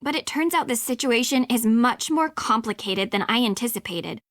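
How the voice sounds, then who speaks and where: A woman's voice: fairly high pitch, lively intonation, fairly bright tone, dry recording, studio quality. A woman voicing a snooty, exasperated young rival in a video game, with petulant, slangy, dramatic delivery. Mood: grudging pleading. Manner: petulant, slangy, drawn-out emphasis.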